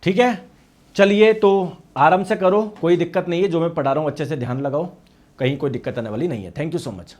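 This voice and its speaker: A male teacher with a high voice and a hoarse throat, giving a lively science lecture. He poses questions and answers them himself, and he gives every key term twice, once in Hindi and once in English. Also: a little fast. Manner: asks and answers his own questions; addresses students as friends. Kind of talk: online exam-prep class.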